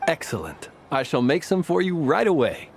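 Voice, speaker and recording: A man's voice: fairly high pitch, very animated, moderate pace, vocal fry, noisy background. A man voicing a courteous, whimsical talking lantern in a video game, speaking in a formal, gracious, theatrical way. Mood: courtly.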